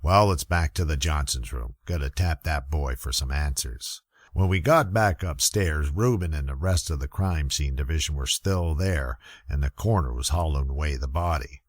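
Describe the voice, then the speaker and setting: A man playing a weary, gruff, middle-aged police lieutenant, narrating a radio murder mystery with wry, folksy grumbling in a gravelly voice. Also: extremely low pitch, moderate pace, dry recording, clean studio sound. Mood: grumbling, probing. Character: gruff, weary old-school male police lieutenant narrating hardboiled detective story.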